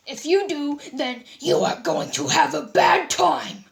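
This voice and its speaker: A woman's voice: sits high, sing-song, slow, creaky, full character voice. A woman voicing an easygoing, joking male skeleton in a fan story read-aloud. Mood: laid-back.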